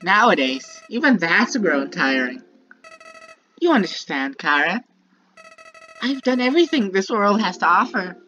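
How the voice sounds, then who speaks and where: A woman's voice: mid-range voice, fairly bright tone, muffled recording, a little hiss or hum. A woman voicing a sinister, childlike talking flower from a video game, bitter and manipulative, delivering a theatrical monologue that moves between sweet musing and menace. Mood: bored, bitter. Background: prominent music.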